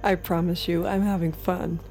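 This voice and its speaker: A woman's voice: on the low side, little pitch movement, moderate pace, a little mellow, close to an everyday voice. An actress playing a mysterious, seductive woman on a date in an intimate audio drama, bold and commanding in a terse, controlled way. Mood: calm, teasing. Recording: music behind the voice. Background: music.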